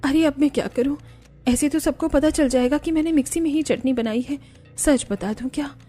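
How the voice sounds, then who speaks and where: An overworked young daughter-in-law in a cartoon, acted by a woman. She is dutiful but grumbles, in a high-pitched voice over music. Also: brisk, dark tone, lightly acted. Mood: worried. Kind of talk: cartoon family drama dialogue.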